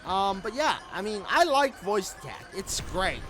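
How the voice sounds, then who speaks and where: High-voiced man, casual gaming creator, rambling delivery, repeated phrases, music playing behind. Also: very animated, unhurried, bright, forceful tone, low-quality recording. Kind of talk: casual rambling video commentary over gameplay. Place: room at home. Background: background music.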